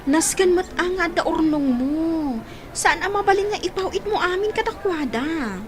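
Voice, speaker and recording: A frank, concerned woman friend with an extremely high, very animated voice. It is lively radio drama acting over clear background noise. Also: normal speed. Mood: admonishing. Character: frank, concerned female friend working abroad.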